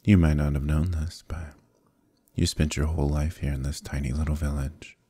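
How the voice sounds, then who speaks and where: A man playing a smugly menacing evil knight in an ASMR roleplay, speaking in a slow, intimate, condescending monologue with softly threatening delivery. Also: flat delivery, no room sound. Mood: cold, menacing. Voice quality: very deep, gravelly, husky.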